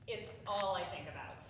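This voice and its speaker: A woman's voice: medium pitch, lightly acted. A woman acting an unsure, eager newcomer in a stage play, with a hesitant, questioning manner. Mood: nervous. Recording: far-off mic, echoey theatre, faint noise.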